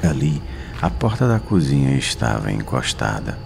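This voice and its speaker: A Brazilian man narrating a scripted horror story in a dramatic, suspenseful manner with deliberate pauses. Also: a bass voice, very animated, background noise. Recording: narration over clear background music. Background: music.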